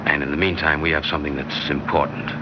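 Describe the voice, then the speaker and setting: Deep-voiced, uneasy adulterer, radio drama. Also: male voice, little pitch movement, fast, muffled recording. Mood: assured, intimate. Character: uneasy, conscience-stricken married man having a secret affair. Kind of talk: classic radio drama acting. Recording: noisy band-limited old radio recording, vehicle sound.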